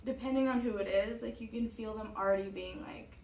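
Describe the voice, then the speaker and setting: A woman's voice: mid-range voice, a little fast, gravelly, a little mellow, echoey room, recorded from a distance, slight background noise, poor audio. A young woman in her twenties, a student, chatting casually and upbeat, with frequent "like" and laughs. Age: 20s. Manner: upbeat, giggly, lots of "like". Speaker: student interviewee. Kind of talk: casual chat.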